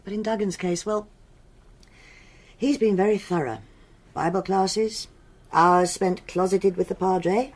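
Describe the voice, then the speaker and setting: An actress playing a stern, no-nonsense police superintendent in a radio drama, clipped and authoritative. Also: female voice, mid-range voice, unhurried, pauses often. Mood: stern, scornful. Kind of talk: BBC radio drama acting.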